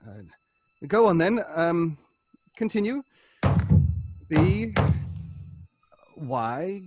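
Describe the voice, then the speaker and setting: An earnest séance leader in a classic radio horror drama. His voice is high and unhurried, with formal, hesitant phrasing that pleads solemnly. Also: sing-song, over loud music, heavily acted. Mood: hushed, halting. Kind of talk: old British radio horror drama acting.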